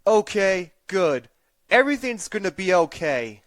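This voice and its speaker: A man's voice: high-pitched, big pitch swings, a little slow, no room sound, studio quality. A man voicing an earnest, uptight young male pony prince who talks stiffly and anxiously, in a fan audio drama. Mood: relieved.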